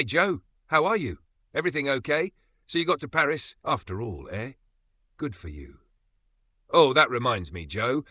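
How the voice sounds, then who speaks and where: A man puts on an excitable young Italian friend who takes over the call and talks eagerly. The voice is pitched up and bright, and it is recorded close and dry. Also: dry recording. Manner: eager and rushing. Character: enthusiastic, chatty young Italian man on the phone.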